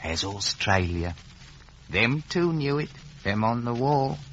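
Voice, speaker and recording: Knowing clerk, animated, muffled recording. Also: male voice, medium pitch, slow pace. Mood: dry, knowing. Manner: terse, dry, ungrammatical. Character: knowing, rough-spoken Victorian London law clerk. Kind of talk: 1950s radio drama acting. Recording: muffled, noisy vintage radio recording.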